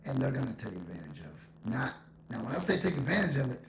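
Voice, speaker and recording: A man's voice: medium pitch, big pitch swings, fast. A man giving a casual, conversational opinion monologue, repeating his points for emphasis, with an echo effect on his voice. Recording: echoing voice with faint noise.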